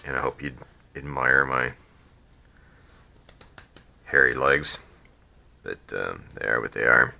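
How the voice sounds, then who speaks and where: Deep gravelly man with a cold. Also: slow, pauses often. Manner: rambling, many ums and restarts, trails off quietly. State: has a cold, sniffly and croaky. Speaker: hobbyist drone builder. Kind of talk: casual how-to build video.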